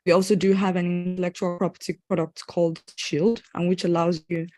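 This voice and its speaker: A woman startup CEO introduces herself on an online panel in an upbeat, brisk way. Her voice is slightly low. The sound is very dry, with no room around it. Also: little pitch movement, warm tone, no echo, soft background music. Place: home in the early morning hours.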